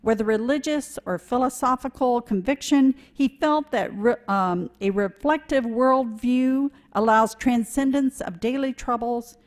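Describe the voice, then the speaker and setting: A woman giving a sermon in a church, earnest and measured, with a touch of self-deprecating humor. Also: fairly high pitch. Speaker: lay preacher. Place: church sanctuary.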